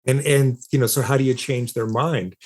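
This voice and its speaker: Male interview guest, mid-pitched voice, explaining points emphatically with repeated, halting starts. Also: fairly even intonation, a little mellow. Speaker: interview guest, philosopher of science and author.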